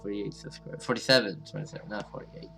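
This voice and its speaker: A man's voice: fairly low pitch, fairly even intonation, fast, vocal fry, bright, forceful tone, faint music behind. A man doing let's-play commentary and reading game text aloud in an informal, hesitant way. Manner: informal, hesitant, alternates reading narration with reactions. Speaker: gaming video host.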